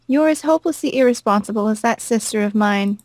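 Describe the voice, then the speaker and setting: A woman's voice: a little high, brisk, dry recording, natural voice. A woman voicing a cold, haughty elder stepsister in a visual novel, condescending and disdainful. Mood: scornful. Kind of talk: acted reading of visual novel dialogue.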